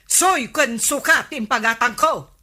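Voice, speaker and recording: High-voiced, nagging Ilocano wife. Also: female voice, a little slow, sharp tone. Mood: demanding. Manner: scolding, questioning. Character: nagging, exasperated wife of the village captain. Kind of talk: comedy radio drama acting.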